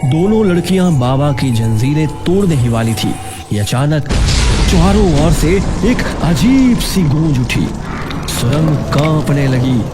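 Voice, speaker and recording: A man narrating a cartoon story in a dramatic, suspenseful way. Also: on the high side. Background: loud background music.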